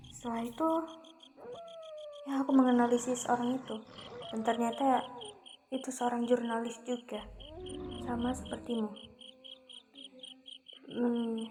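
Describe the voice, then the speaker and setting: A woman voicing a cool, protective young female vampire in an ASMR roleplay, speaking calmly and matter-of-factly, with an Indonesian accent. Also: fairly high pitch, noisy background.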